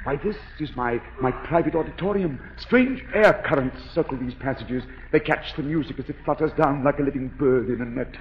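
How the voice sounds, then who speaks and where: An actor in a 1940s radio drama playing a mad, disfigured masked composer, theatrical and brooding, obsessively tender. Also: male voice, mid-range voice, highs cut off, a little hiss or hum, natural voice. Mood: brooding, eerily tender. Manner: theatrical, slow, brooding speech that swells into frenzy. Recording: echoey 1940s radio broadcast with music.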